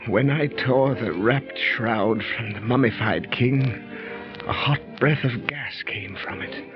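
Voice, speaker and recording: An actor playing a defiant British lord and archaeologist in a theatrical, declaiming 1930s radio style. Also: male voice, mid-range voice, slow, music in the background, natural voice. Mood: weak, dying. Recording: muffled 1930s radio recording.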